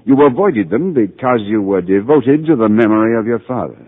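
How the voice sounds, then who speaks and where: An actor playing a calm, patient middle-aged psychiatrist who narrates and gently explains things in a 1940s radio drama. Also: male voice, medium pitch, brisk, close to an everyday voice. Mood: reassuring, gentle. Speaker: narrator and doctor. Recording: tinny old radio broadcast.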